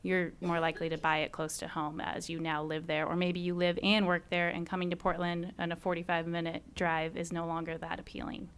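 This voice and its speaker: A woman's voice: fairly low pitch, monotone, brisk, hardly any pauses, a little hiss or hum. A woman appraiser speaking on a panel, giving direct explanations in answer to questions.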